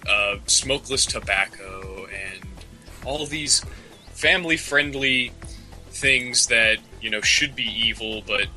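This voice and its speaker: A man's voice: mid-range voice, slow pace, sharp tone, faint music behind. A man co-hosting a podcast with casual banter, often stammering and repeating his words as he goes. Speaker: podcast co-host.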